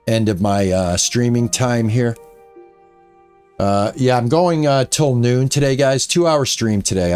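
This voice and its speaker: A man in his late sixties chatting casually to his viewers while streaming a farming game, rambling with frequent pauses. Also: lowish voice. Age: 60s. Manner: soft and quiet while petting his cat. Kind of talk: casual live-stream chat while playing.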